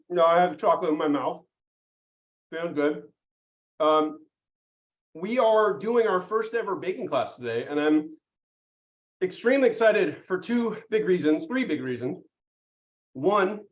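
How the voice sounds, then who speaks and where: A man's voice: sits high, muffled recording. A man hosting a live online baking class over a video call, chatty and upbeat, with lots of fillers and puns. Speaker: host.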